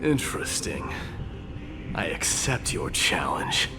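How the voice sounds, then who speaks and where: An actor playing a proud, gruff warrior prince in a video game, speaking in a solemn, haughty, terse way. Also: male voice, low-pitched, big pitch swings, takes it slowly, over music, full character voice. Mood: dry. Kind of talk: scripted video game voice acting.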